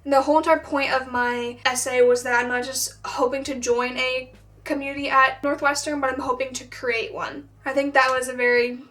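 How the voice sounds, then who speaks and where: Woman, late teens, high voice, flat even intonation, mild stutter, chatty with lots of fillers. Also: a little fast, far from the mic. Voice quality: has a stutter. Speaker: vlogger. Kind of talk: casual chat to camera.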